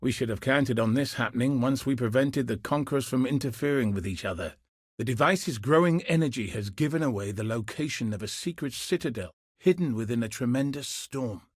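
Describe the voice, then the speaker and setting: A grim, battle-seasoned military commander from a video game. His voice is husky and sits at an ordinary male pitch, recorded very dry. He speaks with solemn, earnest resolve, like someone bound by duty. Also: lively intonation, brisk, dry recording. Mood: urgent, resolute. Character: grim, dutiful male soldier commander hunting cosmic threats. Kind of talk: scripted video game character dialogue.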